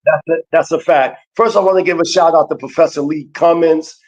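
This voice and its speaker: A man giving a slow, emphatic lecture in a preaching cadence, with speech, repeating key phrases for weight. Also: on the high side, fairly even intonation, darkish tone, lo-fi sound. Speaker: guest teacher. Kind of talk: preaching-style lecture on a livestream.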